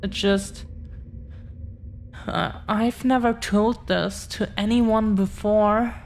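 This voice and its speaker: Very slow, gentle dragon girlfriend, acted by a woman. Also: mid-range voice, fairly even intonation. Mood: nervous, apologetic. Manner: gentle, hesitant, lingering pauses between phrases. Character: affectionate, protective dragon-descended girlfriend, shy and earnest. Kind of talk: roleplay audio, intimate scripted drama.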